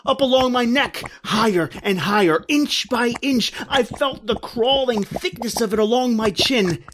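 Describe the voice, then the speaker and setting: A man's voice: extremely high pitch, a little slow, fairly bright tone, slight background noise, pitched above the performer's own voice. A man playing a guilt-ridden, terrified doomed man, declaiming urgently and melodramatically in old-time radio horror style. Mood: frantic, guilty.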